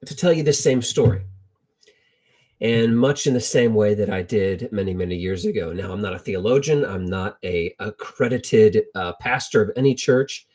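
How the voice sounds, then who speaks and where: A middle-aged American man tells Bible stories informally with personal anecdotes, in a halting, reflective way, repeating phrases for emphasis. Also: on the low side, a little mellow. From United States. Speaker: lay Bible storyteller.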